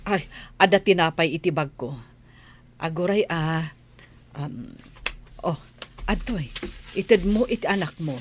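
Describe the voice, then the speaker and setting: Slow, low, concerned older woman acted by a woman. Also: natural voice. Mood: caring, concerned. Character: kind, concerned older woman helping a young mother. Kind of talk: audio drama dialogue. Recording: clear background noise.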